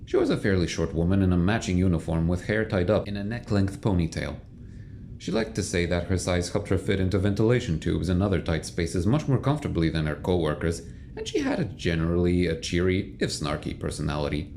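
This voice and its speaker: Deep, expressive male narrator. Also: a little fast, gravelly, a little hiss or hum. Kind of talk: scripted audio drama narration. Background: music.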